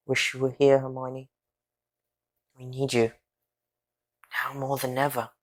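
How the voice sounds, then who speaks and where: A woman playing an earnest, quick-thinking boy wizard in an audio drama, speaking with deliberate conviction. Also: extremely low pitch, monotone, full character voice. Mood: wistful. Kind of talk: fan audio drama acting.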